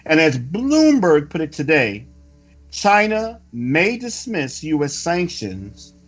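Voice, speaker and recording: A man reading a scripted news report with preacherly commentary, in a deliberate, emphatic and solemn way. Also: fairly high pitch, big pitch swings, fairly bright tone. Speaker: religious report reader and commentator. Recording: dull lo-fi audio with music. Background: background music.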